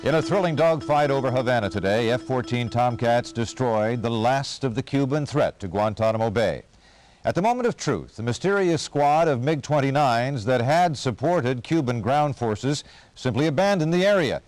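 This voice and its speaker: A man's voice: mid-range voice, animated, normal speed, darkish tone, close to an everyday voice. News anchor , male actor: very varied intonation, formal dramatic game bulletins, light music beneath. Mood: triumphant, newsy. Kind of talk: broadcast news delivery in a game. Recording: faint music under the voice.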